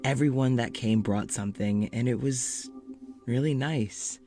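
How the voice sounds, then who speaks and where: Self-doubting, nervous man male actor for audio drama monologue; mid-pitched, halting, confessional, faint music underneath. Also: mellow, lightly acted. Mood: thoughtful, flustered. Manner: halting, rambling, self-deprecating. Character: anxious, self-doubting male doctor confiding in an audio journal.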